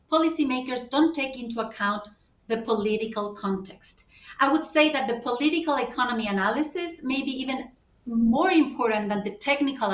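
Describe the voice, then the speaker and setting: A woman's voice: a little high, a bit bright. Earnest woman in an echoey room. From Ecuador. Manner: earnest, organized, lists points in order. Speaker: panelist. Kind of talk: panel discussion remarks. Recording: roomy echo, mic at a distance.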